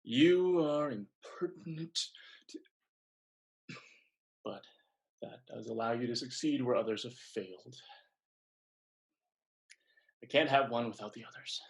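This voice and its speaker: A man's voice: mid-range voice, measured pace, vocal fry, long pauses. A man acting in a stage play reading as a proud, lovesick merchant who is easily affronted. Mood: haughty.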